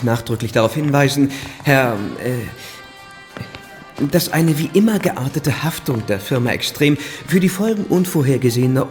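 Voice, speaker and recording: A man's voice: medium pitch, darkish tone, natural voice. A man playing a condescending travel agency clerk in a radio drama, pompous, officious and stiffly formal.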